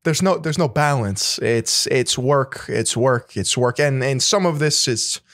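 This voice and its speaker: A man's voice: medium pitch, measured pace, fairly bright tone, no echo, studio quality. A man co-hosting a podcast in casual conversation, laid-back, explaining at length and often repeating words. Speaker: podcast co-host.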